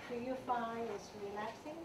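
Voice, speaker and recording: A woman teaching a meditation class, speaking in a gentle way with simple phrasing and many fillers. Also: a little high. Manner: slow, gentle, non-native phrasing with fillers. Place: temple meditation hall. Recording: echoey hall, distant mic, noisy.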